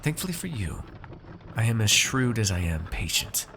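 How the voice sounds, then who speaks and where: A man playing a haughty, shrewd noble master in a fantasy ASMR roleplay, speaking in measured, formal, condescending phrases to a servant. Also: fairly low pitch, big pitch swings, vocal fry, a bit bright, slight background noise, full character voice. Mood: condescending, weary.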